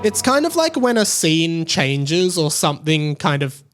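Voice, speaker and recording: A man's voice: a little high, big pitch swings, measured pace, over loud music. A man hosts a solo podcast. He talks in a casual, rambling, conversational style, as if thinking aloud. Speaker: podcast host. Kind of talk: casual solo podcast chat about TV shows.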